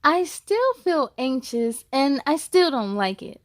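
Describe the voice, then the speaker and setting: A woman with a high voice hosts a storybook read-aloud for children. She speaks encouragingly, addressing her young listeners directly. The sound is dry, with very little room echo. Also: sing-song, takes it slowly. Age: adult.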